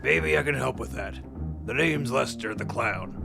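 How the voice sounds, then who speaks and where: A man playing an eager ex-cop clown who acts as sidekick sleuth in an audio comedy, with a gravelly voice. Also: mid-range voice, animated, normal speed, over music.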